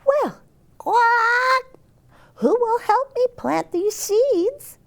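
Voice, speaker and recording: A woman's voice: very high voice, expressive, very slow, voice pushed up, heavily acted. A woman voicing a busy, bossy little hen in a storybook, clucking, pleading and scolding with exaggerated exclamations. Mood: eager, then resolute.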